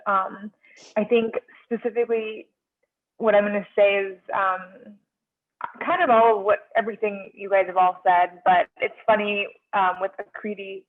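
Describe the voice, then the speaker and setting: A young woman from Nepal sharing a casual, animated story as an audience member on a video call. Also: medium pitch, moderate pace. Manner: chatty, animated storytelling with many fillers. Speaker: audience participant. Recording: tinny phone-like video call audio.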